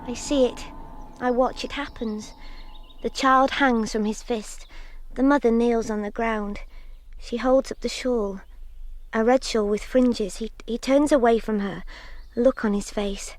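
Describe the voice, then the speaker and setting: An actress playing a stunned young woman in a radio drama, recounting a horror in short, hushed, halting phrases. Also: on the high side, fairly even intonation, a little hiss or hum, lightly acted. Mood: numb horror.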